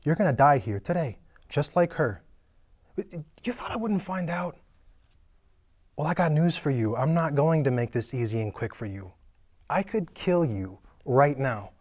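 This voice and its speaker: A man's voice: on the high side, lively intonation, measured pace, darkish tone. A man voicing a menacing male killer who taunts his victim over the phone, sneering and cruel, in a script table reading. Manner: taunting, sneering, drops to a whisper. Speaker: table read actor.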